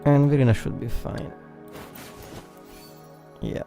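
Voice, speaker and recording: A woman's voice: extremely low pitch, steady tone, slow, mellow. A woman doing casual live commentary as she plays a game, muttering to herself. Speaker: game livestreamer. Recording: faint game music behind.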